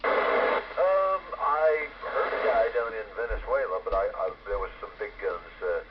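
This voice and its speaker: An American man chatting casually as an amateur radio operator in a folksy, halting way, heard over an FM radio transmission. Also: medium pitch. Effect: FM shortwave radio transmission. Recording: muffled, noisy fading ham radio signal. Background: radio static.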